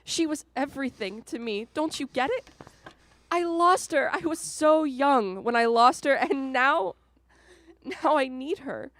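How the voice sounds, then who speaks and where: High-voiced, hurt young daughter played by an actress. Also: female voice, animated, measured pace, a bit bright, dry recording. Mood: pleading, determined. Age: young adult. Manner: emotional, pleading, confrontational. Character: hurt, determined young adult daughter confronting her father. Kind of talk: radio drama acting.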